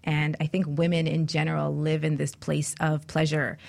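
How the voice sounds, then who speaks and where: A woman's voice: sits low, monotone, moderate pace, creaky, darkish tone. A woman hosting a radio talk show in a conversational style, explaining things openly.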